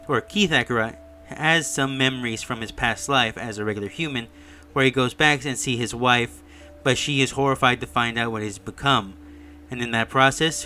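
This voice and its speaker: A man with a bright, forceful voice narrates an informal video recap. He explains things conversationally, like telling a story step by step, at a medium pitch. Faint music plays underneath. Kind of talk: informal recap and review voice-over.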